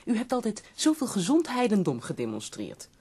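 An actress playing an earnest, concerned visitor, speaking in formal literary phrases in a radio drama. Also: female voice, medium pitch, huge pitch swings, moderate pace, warm tone, husky. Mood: admiring, troubled. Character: earnest, concerned visitor of a sick poet, recounting memories. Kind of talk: radio drama acting and narration.

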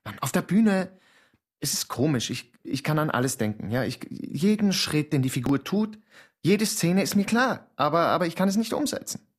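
An actor playing a young stage actor who overthinks and doubts himself, talking in a restless, defensive way in a radio drama. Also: male voice, a little high, very animated, moderate pace. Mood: frustrated.

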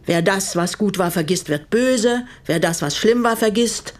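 An actress playing a guarded, quietly reproachful older woman in a radio play, speaking in a measured, pointed way. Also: medium pitch, expressive, close to an everyday voice. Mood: grave. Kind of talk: German radio drama dialogue.

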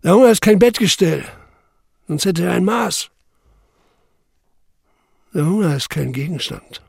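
An actor playing a young labor camp survivor who narrates in the first person, sober, measured and intense. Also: male voice, sits high, lively intonation, creaky, darkish tone, slightly rough, no room sound, clean studio sound, heavily acted. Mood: grim.

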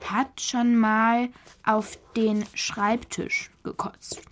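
A woman playing a wry schoolgirl who tells her own story deliberately in a children's radio play. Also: a little high, flat delivery, a little hiss or hum. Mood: deliberate, listing. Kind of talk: scripted first-person children's radio play narration.

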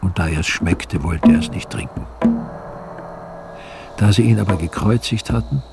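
An actor playing a solemn evangelist, narrating scripture in a measured, grave way for a radio drama. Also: male voice, low voice, creaky, very noisy. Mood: grave narration.

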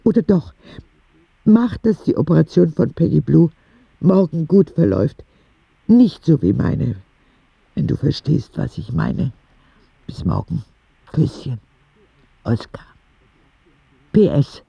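Husky, gravelly candid young letter-writer. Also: male voice, a little high, sing-song, slow pace, pauses often, no room sound, highs cut off, full character voice. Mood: hopeful, then confiding. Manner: confiding, earnest, with naive directness. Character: ten-year-old sick boy writing candid letters to God. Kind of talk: intimate radio drama letter narration.

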